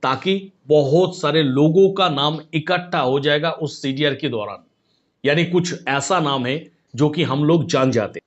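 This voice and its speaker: Insistent man, higher-pitched voice, dull recording. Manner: insistent, repeats points with rhetorical questions. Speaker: online commentator. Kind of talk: opinion commentary to viewers. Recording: dull, lo-fi sound.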